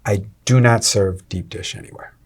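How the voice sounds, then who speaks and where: A man, a restaurant owner talking as an interview guest, energetic and salesy with many fillers and restarts. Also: on the low side, moderate pace, breathy edge. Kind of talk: casual interview talk.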